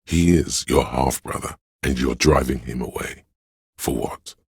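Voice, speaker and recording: A man voicing a grave, all-knowing narrator in a detective video game, measured and solemn, with a gravelly, husky voice. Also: a bass voice, darkish tone, dry recording, studio quality, exaggerated voice. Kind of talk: scripted dramatic narration.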